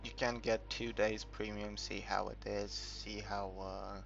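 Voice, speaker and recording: Slow, gravelly man over muffled game commentary. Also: on the low side, flat delivery, fairly bright tone. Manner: hesitant, rambling, thinking aloud. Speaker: gamer making a game tutorial. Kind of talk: casual unscripted game walkthrough commentary. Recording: muffled, dull recording with background music.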